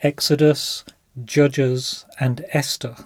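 A man's voice: mid-range voice, little pitch movement, takes it slowly, dry recording. Chatty man, dry close sound. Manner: enthusiastic, chatty. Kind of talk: casual podcast chat.

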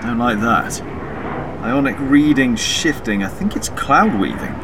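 An actor playing a wary field surveyor in an audio drama, terse and dry. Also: male voice, mid-range voice, expressive, measured pace, background noise, lightly acted. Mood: tense.

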